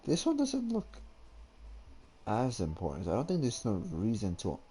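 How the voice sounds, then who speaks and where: Young male gamer: casual, hesitant commentary, mellow tone, lively up-and-down intonation, faint music behind. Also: lowish voice, moderate pace. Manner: hesitant, thinking aloud.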